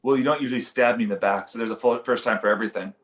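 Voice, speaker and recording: A man playing an insecure, sarcastic failed-comedian father, defensive and sardonic, in an animated comedy read. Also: mid-range voice, very fast, lightly acted. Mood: defensive, bitter.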